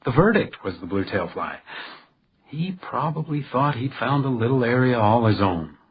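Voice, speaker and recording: An actor playing a polite but stubborn hotel detective in a radio crime drama, with a dry, storytelling way of talking. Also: male voice, mid-range voice, sing-song, moderate pace, slightly rough, highs cut off. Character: hotel house detective, male, deferential but stubbornly needling.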